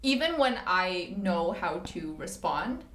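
A young woman hosts a relaxed podcast chat, her mid-pitched voice carrying a roomy echo. She listens a lot, murmuring along, then talks easily in turn. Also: slow pace. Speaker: podcast host. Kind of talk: casual chat.

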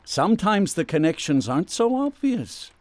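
An actor in a radio drama playing a patient, gently persistent psychiatrist questioning someone. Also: male voice, high voice, very animated, normal speed, dry recording. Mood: probing, persistent.

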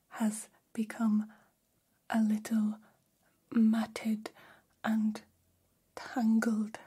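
A woman voicing a gentle, formal alien caretaker in an ASMR roleplay, whispering softly with slow, deliberate phrasing and long pauses. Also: on the high side, full character voice. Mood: soothing, formal. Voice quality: soft, breathy whisper. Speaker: ASMR performer. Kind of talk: ASMR roleplay, personal attention.

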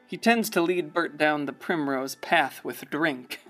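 A man's voice: a little high, a little slow, fairly bright tone. A male actor playing a wry, rambling small-town narrator in a radio play, folksy, theatrical and hesitant. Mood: wry, gossipy. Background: prominent music.

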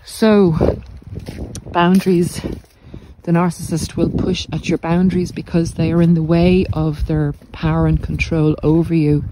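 A woman with an Irish accent giving a casual, explanatory monologue in a measured, halting way while out on a walk. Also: low-pitched, level tone. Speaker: podcast host and narcissism recovery coach. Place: outdoors on a coastal cliff walk. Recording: noisy outdoor handheld recording.

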